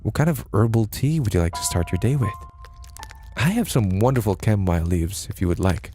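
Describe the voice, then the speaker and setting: A man's voice: fairly low pitch, animated, brisk, dark tone, lightly acted. A formal, devoted young maidservant in a dim mansion, eager yet strangely lifeless, as a man puts her on. Her voice sits well above his own natural register. Faint music plays underneath. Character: pale gloomy young female maid, devoted and polite.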